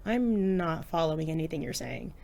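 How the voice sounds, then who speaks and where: A woman's voice: low-pitched, lively intonation, moderate pace, creaky, a little mellow, slight background noise. A woman playing a wry teenage superhero girl. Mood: casual teasing. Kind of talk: hobby audio drama acting.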